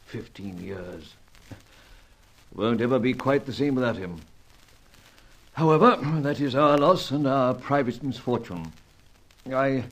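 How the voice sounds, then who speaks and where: A man playing a courteous, anxious senior diplomat and spy chief in a radio adventure serial, speaking formally and hesitantly in an old-fashioned gentlemanly way. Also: medium pitch, rough recording.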